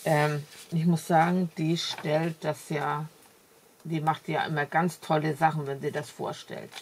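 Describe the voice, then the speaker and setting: A woman's voice: sits low, noisy background. A woman with a cold, chatting casually and enthusiastically while showing craft supplies at her desk. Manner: chatty, enthusiastic, many fillers. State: has a cold. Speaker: crafting vlogger. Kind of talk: casual haul video. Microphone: camera over a desk, hands only.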